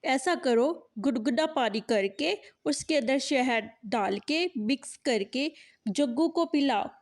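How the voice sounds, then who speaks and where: A woman voicing a calm, helpful male pigeon uncle who gives instructions in a cartoon. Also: sits high, slow pace, exaggerated voice.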